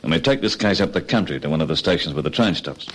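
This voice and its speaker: An actor playing a cocky, impatient Australian criminal ringleader in an old radio crime drama, talking colloquially and persuasively. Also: male voice, a bass voice, animated, quick pace, a bit bright, breathy edge, full character voice. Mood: insistent, scheming.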